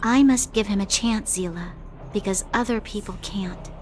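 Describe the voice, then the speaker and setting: A woman voicing a gentle, idealistic young heroine in a video game, speaking earnestly in measured, formal phrasing. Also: mid-range voice, slight background noise, lightly acted.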